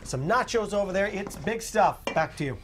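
A man hosting a morning lifestyle show from a studio kitchen, chatty and upbeat with quick interjections. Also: sits high, lively intonation, background noise. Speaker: TV show host. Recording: kitchen clatter of pans and utensils.

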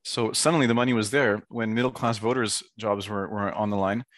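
A man speaking as a guest advocate on a video call, informal and earnest, with halting phrasing. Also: fairly low pitch, steady tone, no room sound.

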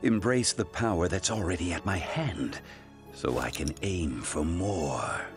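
An actor playing an obsessive, wealthy supervillain in an animated game, speaking in a formal, theatrical, deliberate way. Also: male voice, sits low, over music. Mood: obsessive resolve. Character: obsessive, imperious, wealthy male supervillain with grand ambitions. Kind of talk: dramatic animated game cutscene acting.

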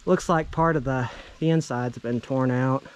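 A man's voice: mid-range voice, steady tone, normal speed, clear, resonant tone, dry recording. A man in his thirties narrating a casual exploration vlog outdoors on a handheld camera, talking in an awestruck, exclaiming way. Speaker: vlog host. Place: outdoors in woods around abandoned railway.

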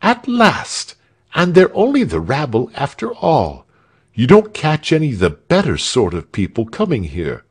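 A man's voice: mid-range voice, wildly swooping pitch, a little slow, husky, voice pushed up, exaggerated voice. Man doing a thin, indignant old-style soldier. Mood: scornful. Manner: indignant, old-fashioned speech. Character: thin complaining soldier, proud and old-fashioned.

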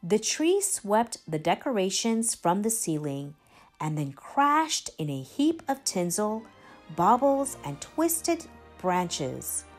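A woman reading a children's storybook aloud in an expressive, careful way. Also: medium pitch, takes it slowly, faint music behind. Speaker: children's storybook reader, channel regular. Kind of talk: expressive read-aloud narration for young children.

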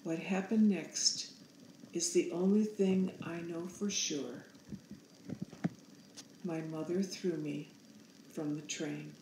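A woman in her sixties narrating a storybook aloud in a measured, solemn manner. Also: fairly low pitch, fairly even intonation, reverberant, noisy background. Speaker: author reading own picture book aloud.

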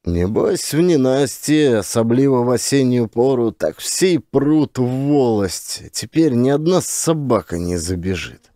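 Radio play role: gruff village official, acted by a man, folksy grumbler, slow, dry studio sound. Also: medium pitch, very animated, mellow, no room sound. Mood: grumbling. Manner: grumbling, folksy rustic speech. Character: bored, gruff male village official, easily startled, nosy.

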